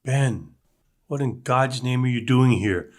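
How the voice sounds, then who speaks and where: A man reading the part of a weary father who tries to calm his son and wife in a table read. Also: medium pitch, slow pace, lightly acted. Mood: stern. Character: weary older father, firm and placating.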